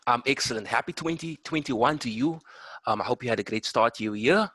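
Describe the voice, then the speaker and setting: A man's voice: medium pitch, fairly bright tone, husky, dry recording. Podcast host man, dry close sound. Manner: measured, encouraging, uses um fillers. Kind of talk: podcast interview hosting.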